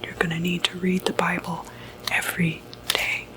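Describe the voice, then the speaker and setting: A woman playing a gentle, reassuring doctor who treats demonic possession, in a hushed, soothing ASMR medical roleplay. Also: lowish voice, monotone, rough recording. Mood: calm, reassuring. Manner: hushed, unhurried, hesitant, soothing.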